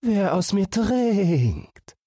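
A man voicing an enchanted forest spring in a fairy tale, chanting a warning in a slow, drawn-out manner. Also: high voice, very animated, warm tone, no echo, clean studio sound, pitched above the performer's own voice, full character voice. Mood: eerie warning.

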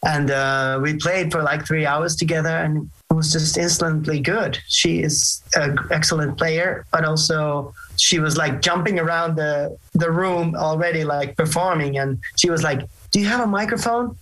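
A man's voice: on the high side, moderate pace, hardly any pauses, low-quality recording. Finnish guy from a band doing an interview in english, laid back, lots of uhs and restarts. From Finland. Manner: relaxed, reflective, frequent fillers and restarts. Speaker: band singer, interview guest. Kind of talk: casual interview answers.

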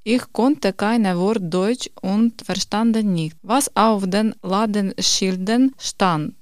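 A woman in her thirties from Ukraine, reading out a personal statement in steady learner's German. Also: medium pitch, slow pace, no echo, clean studio sound. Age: 30s. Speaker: course participant.

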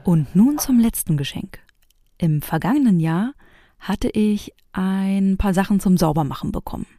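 A woman's voice: mid-range voice, lively intonation, moderate pace, dark tone. A middle-aged woman, hosting a homemade audio piece and casually telling personal stories. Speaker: host of a private homemade audio play.

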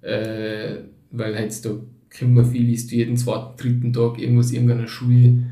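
A man's voice: medium pitch, completely flat delivery, moderate pace, mellow, soft background music. A man in his twenties, born in Turkey and raised in Tyrol, speaking with a Tyrolean accent as a podcast guest. He talks reflectively and hesitantly, with many fillers. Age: 20s. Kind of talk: casual conversation. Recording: roomy echo, somewhat distant from the mic.

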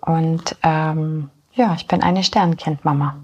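A woman in her forties, born in Poland and raised in Germany, speaking as an interview guest in a hesitant way with many filler pauses. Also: low-pitched, steady tone, a little mellow, breathy edge, dull audio. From born in Poland, grew up in Germany. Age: 40s. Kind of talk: personal interview talk.

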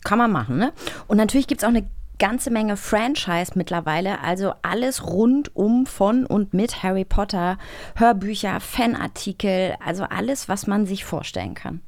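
A woman's voice: mid-range voice, moderate pace, no room sound. A woman co-hosting a podcast in an informal, slangy, chatty way. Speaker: podcast co-host. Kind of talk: casual podcast chat.

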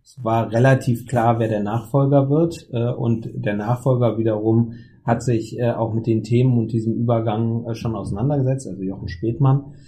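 A man's voice: mid-range voice, fairly even intonation, dark tone. A man talking casually in a podcast conversation, often stopping with fillers and restarting his sentences. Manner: halting, many fillers and restarts. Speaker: committee lead of an association.

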